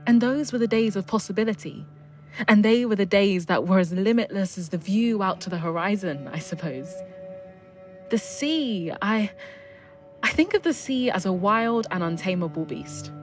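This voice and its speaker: A middle-aged woman with a southern English accent reminisces at a medium pitch. She is reflective and a little hesitant, feeling her way through personal stories, with music playing softly behind her. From south coast of England.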